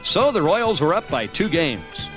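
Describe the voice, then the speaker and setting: An American man narrates a sports highlight film from a script. His voice sits a little on the high side, and music plays underneath. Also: sing-song, unhurried. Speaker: documentary narrator.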